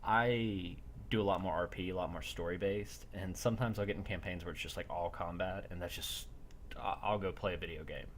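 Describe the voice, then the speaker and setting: Low-voiced man running a tabletop game. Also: steady tone, fairly quick, a little hiss or hum. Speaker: game master. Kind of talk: casual chat while running an online tabletop game.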